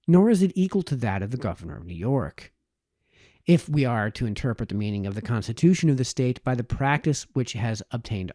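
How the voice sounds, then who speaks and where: A man reading a formal historical essay aloud as an audiobook narrator, with measured, emphatic phrasing. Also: mid-range voice, dark tone, no echo, studio quality. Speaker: audiobook reader.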